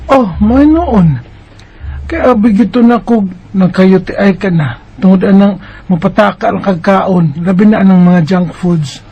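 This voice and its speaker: A woman with a Cebuano Bisaya accent playing a concerned, nagging, skeptical mother in a radio drama, with a husky voice. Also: medium pitch, unhurried, lo-fi sound, slight background noise, lightly acted. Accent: Cebuano Bisaya Filipino. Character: concerned, scolding, skeptical mother of a teenage girl.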